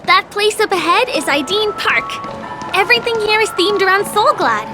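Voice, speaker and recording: A young female tour guide from a video game. Her voice is high and bright with youth, bubbly and eager in manner. She chats like a friend showing off the sights, over prominent music. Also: lively intonation, moderate pace. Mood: inviting, friendly. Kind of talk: video game character voice acting.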